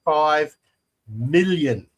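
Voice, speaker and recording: A man's voice: a little high, expressive, drawn-out words. An Australian man co-hosting a casual panel chat, emphatic and repeating his key figures. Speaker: show co-host.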